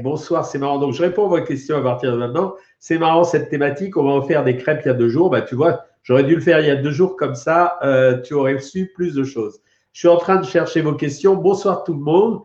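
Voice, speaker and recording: A man's voice: mid-range voice, darkish tone. French doctor guy with a cold, lots of euhs, echoey room, muffled lo-fi sound. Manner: chatty and explanatory, many hesitations. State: has a head cold. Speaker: doctor hosting a weight-loss live stream. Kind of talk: casual live stream talk answering viewer questions. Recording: roomy, dull lo-fi stream audio.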